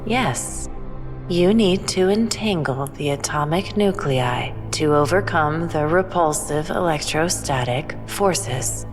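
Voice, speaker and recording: Calm all-knowing alien lady, really low slow voice, big reverb, music behind, sci-fi audio drama. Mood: serene, certain. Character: serene otherworldly female alien guest, patient and all-knowing. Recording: long reverb, clear background music.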